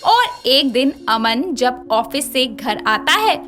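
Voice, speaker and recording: A woman's voice: high voice, big pitch swings, slow pace, a bit bright. A woman narrating a scripted moral story. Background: light music.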